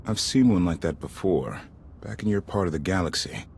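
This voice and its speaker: An actor playing an easygoing, cocky rebel ex-officer in a video game, with a casual, wry delivery and a husky voice. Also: male voice, low-pitched, lively intonation, moderate pace, a little mellow, exaggerated voice. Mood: persuasive. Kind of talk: naturalistic video game acting.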